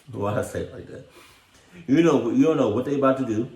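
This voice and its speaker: A man's voice: medium pitch, brisk, mellow. A man giving casual reaction commentary in slangy, profane, incredulous talk. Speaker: reaction video host. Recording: roomy echo, music behind.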